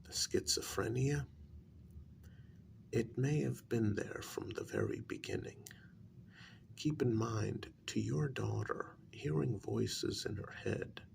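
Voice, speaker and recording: A man in a soft-spoken ASMR role-play as a calm, grave psychologist, talking in a measured, halting, breathy half-whisper with gravel in it. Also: on the low side, a little hiss or hum. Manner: deliberate, measured, halting phrases. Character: calm, grave male psychologist and spiritualist counselling a parent.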